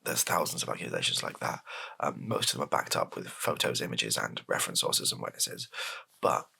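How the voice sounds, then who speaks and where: A British man improvising a softly spoken comedy roleplay as a hesitant, deadpan, rambling political advisor to the prime minister. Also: extremely low pitch, brisk, creaky, husky, pitched below the performer's own voice, exaggerated voice. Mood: matter-of-fact, musing.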